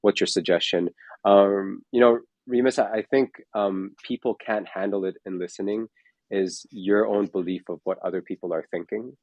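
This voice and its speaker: A man's voice: fairly low pitch, steady tone, moderate pace, a little mellow, dry recording, highs cut off. A man in his forties, a fitness coach, talking in a casual chat, rambling with lots of fillers and restarts. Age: 40s.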